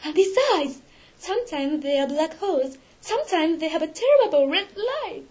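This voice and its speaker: A frightened young storybook heroine, acted out by a woman narrator, very high in pitch. She is anguished and urgent, pressing each phrase with dramatic emphasis as she confides a terrible secret. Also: lively intonation, normal speed, darkish tone. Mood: horrified anguish.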